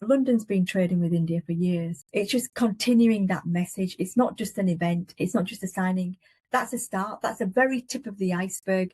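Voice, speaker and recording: A woman's voice: fairly low pitch, mellow, husky, dry recording, rough recording. A middle-aged woman with a broad Yorkshire accent, giving earnest, emphatic interview answers in short listed phrases. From England. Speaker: guest, business leader.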